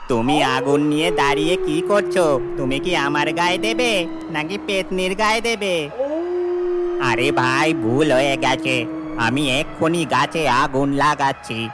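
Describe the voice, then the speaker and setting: A man voicing an excitable village man in a horror cartoon, speaking in an emphatic, exclamatory way. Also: on the high side, measured pace, loud music behind the voice, close to an everyday voice. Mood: alarmed. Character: excitable village men arguing over a ghost.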